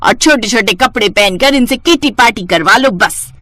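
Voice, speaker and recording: A woman's voice: on the high side, lively intonation, moderate pace, bright, forceful tone, no echo. A woman voicing a scolding, old-fashioned mother-in-law in a family cartoon, nagging and disapproving. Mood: indignant scolding. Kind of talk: cartoon story voice acting.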